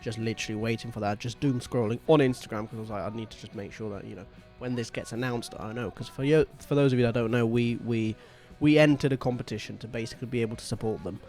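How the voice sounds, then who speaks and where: A man hosting a casual band podcast, chatty and quick to joke and tease. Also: mid-range voice, darkish tone, faint music behind. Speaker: podcast host and band member. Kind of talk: casual chat.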